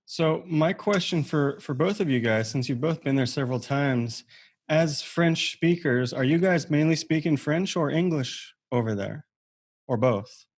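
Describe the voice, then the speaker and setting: Man asking a slow question. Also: a little high. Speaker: co-host.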